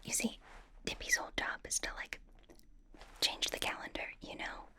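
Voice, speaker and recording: A woman playing a chatty, suspicious young superheroine in a soft, breathy ASMR whisper, rambling through a playful interrogation. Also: normal speed. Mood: apologetic, rambling. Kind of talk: ASMR roleplay, mostly whispered personal attention.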